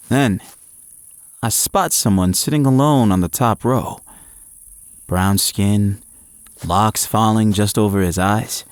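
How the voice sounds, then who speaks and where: An actor playing an eager, frazzled young male athlete, narrating his own story in an audio drama with expressive, at times hesitant delivery. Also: on the low side, dry recording. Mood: curious, awkward. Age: young adult. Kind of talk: first-person audio drama narration and dialogue.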